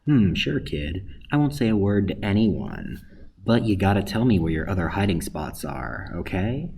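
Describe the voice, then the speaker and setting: A man playing a gruff, scheming worker at a secret facility in a radio play, with a grudging, muttering manner. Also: low-pitched, very animated, moderate pace, dark tone, a little hiss or hum, heavily acted. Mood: slyly curious.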